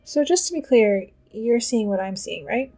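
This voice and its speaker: Husky, composed teenage girl. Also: a little high, moderate pace, soft background music. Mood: calmly urgent. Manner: matter-of-fact, deadpan. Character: composed, decisive, take-charge teenage schoolgirl. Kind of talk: audio drama, understated dialogue.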